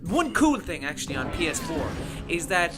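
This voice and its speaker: A man's voice: a little high, sing-song, normal speed. A man giving a casual, rambling game review, with frequent restarts. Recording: music playing behind.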